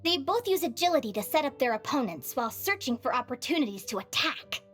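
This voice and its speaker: A high, lively young woman adventurer from a video game. She is cheerful and encouraging, with swooping, expressive intonation, and music plays faintly behind her. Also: fast talker. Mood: instructive. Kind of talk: animated video game voice acting.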